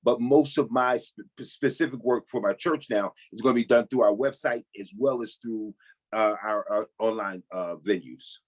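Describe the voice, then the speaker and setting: A man's voice: lowish voice, moderate pace. A man who is a pastor, speaking as an interview guest on a video call, emphatic and repeating words. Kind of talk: casual interview talk.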